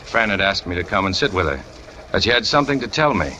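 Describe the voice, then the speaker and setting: A deep, brooding noir trapeze killer. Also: male voice, big pitch swings, fast, pushed and bright, a little hiss or hum, exaggerated voice. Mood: brooding confession. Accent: American. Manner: terse, hard-boiled, brooding. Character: brooding, lovesick trapeze artist turned killer. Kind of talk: 1940s radio noir acting, confessional narration. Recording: old 1940s radio recording with music.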